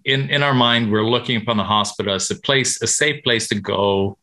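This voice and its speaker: A man from Sweden, a medical professional answering interview questions haltingly, with many restarts. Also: lowish voice, bright, forceful tone.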